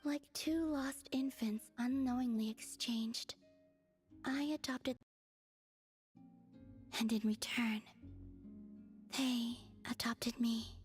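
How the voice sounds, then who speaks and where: A woman voicing a dreamy, distant young moon goddess in a video game, speaking in a hushed, breathy way. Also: a little high, fairly even intonation, takes it slowly, pauses often, faint music behind. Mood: somber.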